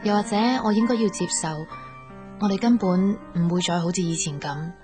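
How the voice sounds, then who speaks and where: A woman's voice: lowish voice, fairly quick, music in the background, close to an everyday voice. An actress playing a sensitive, insecure young girlfriend in a Cantonese radio drama. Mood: pensive. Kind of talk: Cantonese radio drama acting with inner monologue.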